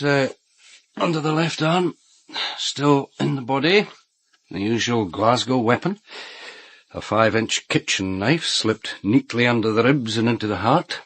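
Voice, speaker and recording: An actor playing a police doctor in a radio crime drama, deliberate, musing and dryly wry. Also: male voice, mid-range voice, sing-song, fairly bright tone, slightly rough, dry recording, exaggerated voice. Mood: clinical. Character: measured, wry male police doctor examining a corpse.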